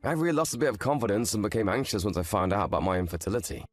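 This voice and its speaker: Man acting an earnest, quick-talking young husband. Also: fairly low pitch, expressive, close to an everyday voice. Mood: anxious, grateful. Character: earnest, grateful young husband, anxious yet frank. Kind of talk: scripted dialogue for an online story drama.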